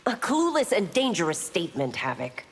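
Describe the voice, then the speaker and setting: An actress playing a stern, principled chief constable in a fighting game, speaking formally and with authority in a reverberant space. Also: female voice, fairly low pitch, sing-song, moderate pace, husky, heavily acted. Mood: stern, warning. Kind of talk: scripted fighting game dialogue.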